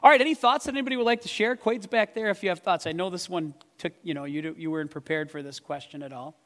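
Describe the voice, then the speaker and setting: A man's voice: sits high, fast, fairly bright tone. A man, a pastor, answering questions in a church Q and A. He talks in a conversational way, thinking aloud with some restarts, into a microphone over the PA. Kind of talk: church Q and A talk. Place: church hall. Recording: long reverb of a church hall.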